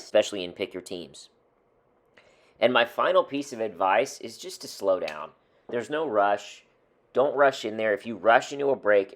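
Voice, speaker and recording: A man getting over a cold, calmly walking viewers through his rules for a hobby video. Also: lowish voice. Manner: steady, instructive, counts off rules. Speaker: trading card hobby channel host. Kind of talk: scripted advice to camera.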